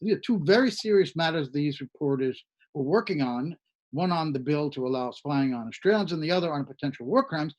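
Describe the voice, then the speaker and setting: Higher-pitched man, dull lo-fi sound. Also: animated, a little fast. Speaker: panel host of an online news show. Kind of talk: political commentary in conversation.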